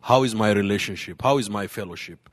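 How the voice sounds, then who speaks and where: Earnest halting pastor in a big hall. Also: male voice, fairly low pitch. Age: late 30s. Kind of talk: panel discussion answer. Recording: hall reverb.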